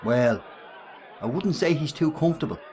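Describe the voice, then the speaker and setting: A man's voice: a little high, lively intonation, normal speed, a little mellow, natural voice. An actor with a Dublin accent playing a brash, bullying working-class man in a radio play, cocky and needling in his slangy talk. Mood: cocky menace. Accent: Irish, Dublin. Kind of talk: radio play acting in colloquial dialogue.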